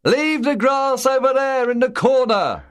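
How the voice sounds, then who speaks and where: A man's voice: fairly high pitch, very animated, a little slow, no echo, studio quality, pitched above the performer's own voice. Man doing a bossy young bully boy. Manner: shouting orders, impatient. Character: bossy, hot-tempered schoolboy bully.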